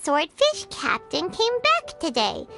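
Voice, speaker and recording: A tiny fairy sidekick from a video game, extremely high and squeaky like a small child. The delivery is animated and exclamatory, eager to help and easily excited, with clearly audible music behind it. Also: female voice, slow, heavily acted. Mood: curious, chirpy. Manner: refers to self in third person, exclamatory. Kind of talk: video game character acting. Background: background music.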